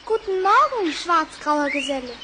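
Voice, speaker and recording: An actress playing a cheerful, innocent little girl in an old record radio play, eager and bright. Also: very high voice, big pitch swings, moderate pace, very noisy. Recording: vinyl record with music.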